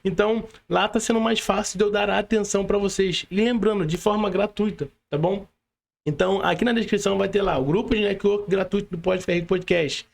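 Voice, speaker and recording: A male podcast host with a Brazilian accent talks in a high voice with a gravelly fry. He speaks casually and keeps checking that his guest agrees. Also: steady tone, a little fast. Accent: Brazilian Portuguese. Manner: friendly, prompting, asks for agreement.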